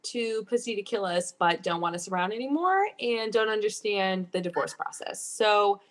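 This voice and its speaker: A woman playing a chatty, sassy female asylum inmate with gushing, slangy, drawn-out delivery in improvised comedy. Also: mid-range voice, expressive, lightly acted. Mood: sassy, breezy.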